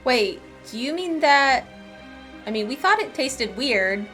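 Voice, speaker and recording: A woman's voice: high voice, very animated, slow, bright, forceful tone. A woman streamer giving casual commentary while playing through a game. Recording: faint game music behind.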